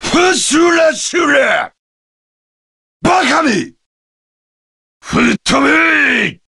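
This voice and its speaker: Bellowing brutish giant. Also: male voice, very high voice, expressive, extremely slow, vocal fry, sharp tone, no room sound, shouting, studio quality, heavily acted. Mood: savage, scornful. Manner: bellowing, contemptuous. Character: brutish male giant brawler, bullying and savage. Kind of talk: anime game battle shouts.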